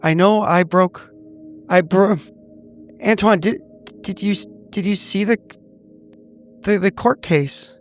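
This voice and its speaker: A man's voice: high voice, steady tone, slow, long pauses, soft background music. A man playing a desperate, regretful man begging an old lover back, stammering and pleading.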